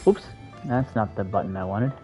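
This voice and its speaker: A man's voice: fairly low pitch, normal speed, dark tone. A man doing casual livestream gameplay commentary, muttering and thinking aloud to himself. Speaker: game streamer. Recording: dull lo-fi microphone, music behind. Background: game music.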